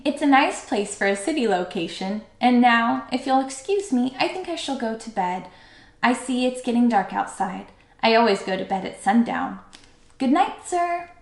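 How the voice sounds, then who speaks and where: A woman voicing a gentle, polite little female bird in a soft, musical storybook voice. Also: fairly high pitch, lively intonation, normal speed, echoey room. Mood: polite, calm. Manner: polite, poetic.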